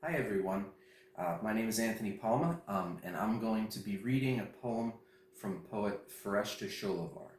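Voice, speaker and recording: A man's voice: lowish voice, expressive, normal speed. A man reciting poetry as a reader at a festival. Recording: roomy echo, distant mic.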